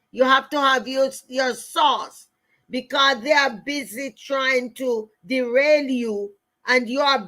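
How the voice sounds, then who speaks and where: A woman's voice: sits high, fairly bright tone. A middle-aged woman with a Jamaican accent giving a motivational talk through personal stories, emphatic, repeating phrases and speaking straight to her listeners. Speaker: motivational speaker and show host.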